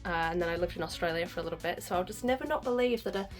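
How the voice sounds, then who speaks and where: A woman's voice: fairly low pitch, very fast, faint music behind. Chatty nasal woman with a cold. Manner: chatty, rambling, frequent ums. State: stuffy nose, nasal from a cold. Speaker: gardening vlogger. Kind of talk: casual vlog chat. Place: backyard greenhouse.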